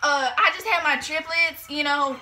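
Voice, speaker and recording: High, bright-voiced young woman on prank calls. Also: normal speed. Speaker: prank caller. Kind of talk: casual prank phone calls with friends. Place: small furnished bedroom indoors.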